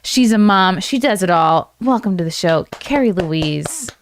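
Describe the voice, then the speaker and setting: A woman's voice: medium pitch, expressive, unhurried, heavy background noise. A woman hosting a podcast in casual interview chat, with a gushing, exclamatory way of talking. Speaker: podcast host.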